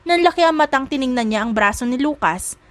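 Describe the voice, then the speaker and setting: A woman's voice: high voice, lively intonation, brisk, a bit bright, dry recording. A woman narrator reading a scripted romance story for an audiobook.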